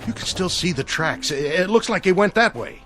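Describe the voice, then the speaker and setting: An actor playing a weary, evasive astronaut in a vintage TV drama, sullen and defensive, stammering when pressed. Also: male voice, high-pitched, very animated, moderate pace. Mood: shaken. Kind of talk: mid-century television drama acting. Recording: music under dialogue.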